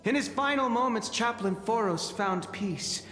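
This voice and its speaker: A solemn male cleric, delivers grand proclamations in a high voice. He speaks slowly and ceremonially, his words ringing with long hall reverb. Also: fairly bright tone. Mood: solemn proclaiming. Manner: grand, measured oratory. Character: newly appointed chaplain, solemn male cleric addressing citizens. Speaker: game voice actor. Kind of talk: ceremonial proclamation in a fantasy game. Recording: echoey hall reverb over game music.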